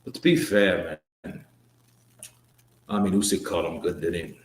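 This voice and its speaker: Casual low-voiced man in a roomy space. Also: fairly even intonation, measured pace, a little hiss or hum. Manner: casual, joking, swears, trails off and restarts. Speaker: boxing stream host. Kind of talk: live stream chat reading comments. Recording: roomy echo.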